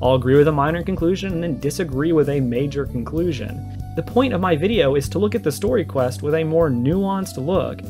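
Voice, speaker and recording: Guy doing a response video, kinda high voice, emphatic and argumentative, bit of guitar music under it. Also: animated, fairly quick. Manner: emphatic, argumentative, repeats points for stress. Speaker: video creator and host. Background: music with plucked guitar.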